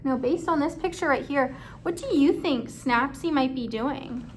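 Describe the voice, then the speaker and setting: A woman reading a children's story aloud as a teacher, pausing to put questions to young listeners. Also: sits high, slight background noise.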